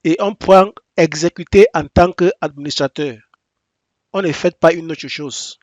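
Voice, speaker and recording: Man teaching software in halting French. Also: a little high, no echo. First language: English. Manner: step-by-step, repetitive instructions; halting non-native French with frequent restarts. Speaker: software tutorial presenter. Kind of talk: screen-recorded software tutorial.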